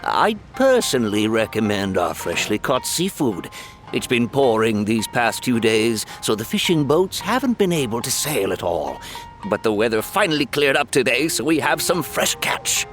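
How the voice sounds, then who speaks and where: A man's voice: medium pitch, very animated, normal speed, a bit bright, soft background music. A man voicing a courteous, obliging restaurant server in a video game, polite and helpful in manner.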